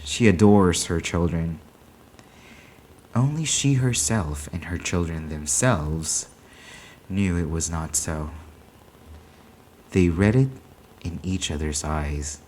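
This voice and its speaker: A man reading a short story aloud in a deliberate, dramatic storytelling manner. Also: sits low, darkish tone, slight background noise. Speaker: story reader.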